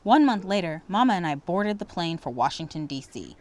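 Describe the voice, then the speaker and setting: Female narrator for children's picture book, fairly low voice, dramatic delivery with lively swings in pitch. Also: fairly quick. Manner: animated, dramatic. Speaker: storybook reader. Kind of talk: expressive children's read-aloud.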